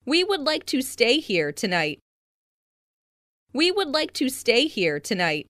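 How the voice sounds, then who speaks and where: Female voice, slightly high, very slow and deliberate, lively intonation, dry studio sound. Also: dry recording. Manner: careful, deliberate enunciation. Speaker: listening practice speaker. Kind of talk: slow read example sentences.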